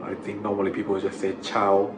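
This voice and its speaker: A man from Thailand, a native Thai speaker with a Thai accent, chatting casually as an interview guest in a halting way that repeats words. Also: lowish voice, monotone. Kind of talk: casual chat. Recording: echoey room with clear background noise.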